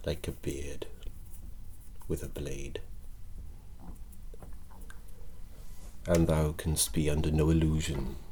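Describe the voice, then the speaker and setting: A man with a Welsh accent voicing a scheming old alchemist in an ASMR roleplay. He speaks softly in archaic thee-and-thou speech and sometimes slips into whispers. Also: rumbling low, slight background noise. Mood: soft, coaxing. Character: scheming old male court alchemist, wretched and sinister.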